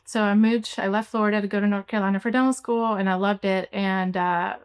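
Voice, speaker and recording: A young adult woman from Cuba, an orthodontist, answering interview questions in a relaxed way with many fillers. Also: mid-range voice, quick pace, clear, resonant tone. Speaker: orthodontist guest.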